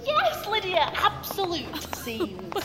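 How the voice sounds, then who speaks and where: A woman's voice: very high-pitched, huge pitch swings, slow, over a noisy crowd, heavily acted. An actress voicing a cheeky, lusty inner voice in audio fiction, British, slangy and sweary as she eggs on a shy woman. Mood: gleeful. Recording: long reverb, music behind.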